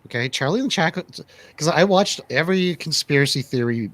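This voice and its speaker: A man's voice: on the high side, expressive, quick pace, fairly bright tone, no room sound. A man hosting a casual movie review chat, speaking in a conversational, opinionated way. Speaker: radio show host.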